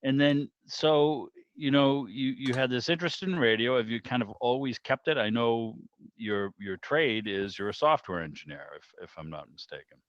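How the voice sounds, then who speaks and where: A middle-aged man hosting a club meeting by video call, chatting casually and telling rambling, animated anecdotes over lo-fi audio. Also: mid-range voice, normal speed, no echo. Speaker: club meeting host, interviewer.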